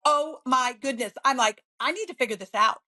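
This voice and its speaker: A woman real estate agent as an interview guest, bubbly and upbeat, telling stories with lively enthusiasm. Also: sits high. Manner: bubbly, upbeat, quick to laugh. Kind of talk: conversational interview.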